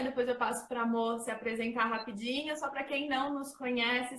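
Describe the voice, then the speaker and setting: A woman's voice: a little high, fairly bright tone, echoey room, low-quality recording. A woman in her thirties with a São Paulo accent, hosting a casual talk and presenting herself with many fillers. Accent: São Paulo Portuguese. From São Paulo, Brazil. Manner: chatty, many fillers like "né" and "então". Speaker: host and facilitator.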